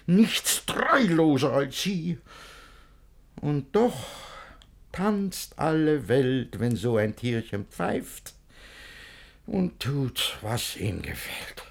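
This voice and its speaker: Jealous older guardian in classic radio comedy, slow n dramatic, blusters then begs, pitch all over. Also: male voice, very animated, vocal fry, heavily acted. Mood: resigned, tender. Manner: theatrical, swinging from outrage to hushed pleading. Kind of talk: German radio play of a classic verse comedy.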